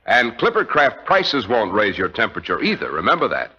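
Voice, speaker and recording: A man works as a vintage radio announcer, reading in a polished, emphatic manner. His voice is bright and forceful with lively swings of pitch. The recording sounds dull and old. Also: brisk, slightly rough. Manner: polished, emphatic, scripted delivery.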